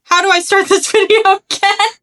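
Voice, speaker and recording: A young woman from Arkansas with a Southern accent and a slight lisp, chatting casually in a makeup vlog, self-deprecating and quick to laugh at her own slips, filmed on a phone at home. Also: very high-pitched, fairly even intonation, pushed and bright. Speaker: makeup vlogger. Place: at home, seated. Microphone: phone camera.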